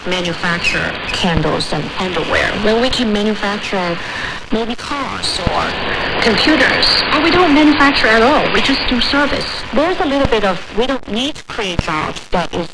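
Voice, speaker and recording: A woman's voice: mid-range voice, animated, normal speed, creaky. A woman from China with a Chinese accent, answering interview questions earnestly about her factory. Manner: earnest, slightly non-native phrasing. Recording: off-air shortwave radio with heavy noise.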